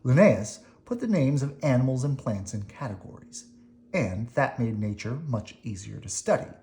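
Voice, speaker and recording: A person reading a children's picture book aloud expressively, with dramatic emphasis, pauses and brief explanatory asides. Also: medium pitch, lively intonation, warm tone, a little hiss or hum. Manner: dramatic emphasis, pausing between phrases.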